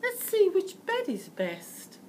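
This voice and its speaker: A woman voicing a bratty, brash little girl in a storybook, loud and exaggerated, with some background noise. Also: very high-pitched, huge pitch swings, takes it slowly, pitched above the performer's own voice, exaggerated voice. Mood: bossy.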